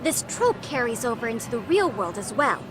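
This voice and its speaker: A woman's voice: a little high, very animated, moderate pace, background noise. A woman playing an eager, pompous teenage girl who is president of a mystery club, in a dramatic video game style. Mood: accusing, confident. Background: background music.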